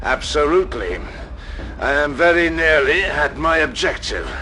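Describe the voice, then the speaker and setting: An actor playing a stern, resolute space-knight commander in an audio drama, grave, clipped and defiant. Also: male voice, fairly high pitch, animated, moderate pace, pushed and bright, slight background noise. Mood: curt, resolute. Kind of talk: scripted audio drama acting.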